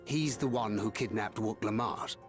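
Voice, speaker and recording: An actor playing a composed, pragmatic adventurer scout in a video game, with a measured, serious delivery. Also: male voice, lowish voice, over music, close to an everyday voice. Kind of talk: video game cutscene acting.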